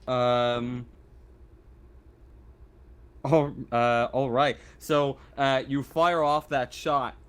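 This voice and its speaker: A man's voice: mid-range voice, level tone, fairly bright tone, clear, resonant tone. A man runs a tabletop roleplaying game as game master. He narrates and makes rulings off the cuff, stammering and restarting often, with a casual, sweary manner. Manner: halting, stammering delivery.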